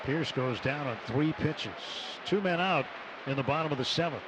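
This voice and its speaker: Clear ballpark noise surrounds a man's fairly high-set voice. He delivers live radio baseball play-by-play in measured phrases, with short clipped calls of the count. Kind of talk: live radio sports commentary. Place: stadium broadcast booth. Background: ballpark ambience.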